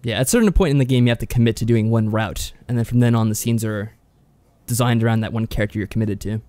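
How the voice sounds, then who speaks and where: Quick-talking man in a dry-sounding recording. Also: mid-range voice, dark tone, no echo. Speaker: podcast co-host. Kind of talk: casual review chat.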